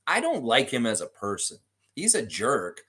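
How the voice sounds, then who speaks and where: A man's voice: mid-range voice, wildly swooping pitch, normal speed, sharp tone. Upbeat man reading live chat. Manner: upbeat, rambling, reads viewer comments aloud and answers them. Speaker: host of a fan live stream. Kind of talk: casual live-stream chat reading comments.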